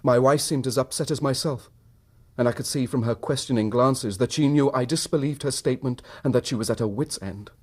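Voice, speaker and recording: An actor playing a troubled, suspicious husband in a radio drama, speaking in formal, precise phrases. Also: male voice, mid-range voice, a little fast, a little mellow, lightly acted. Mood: sombre, bitter. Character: troubled, suspicious husband recounting his wife's secret.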